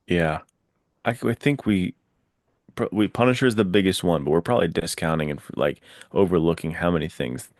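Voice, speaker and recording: A man's voice: low-pitched, brisk, dry recording, studio quality. A man hosting a book podcast, chatting casually and enthusiastically with informal, dude-ish slang. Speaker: podcast host, writer.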